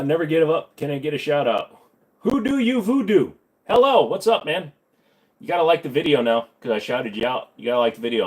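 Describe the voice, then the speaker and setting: A man's voice: mid-range voice, a little mellow. A man hosting a casual livestream, answering viewer questions with crude, rambling jokes.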